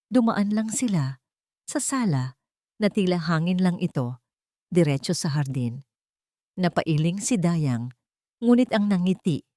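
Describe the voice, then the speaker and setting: A woman's voice: fairly low pitch, mellow, no echo, clean studio sound. A woman with a Filipino accent narrating a scripted dramatic story.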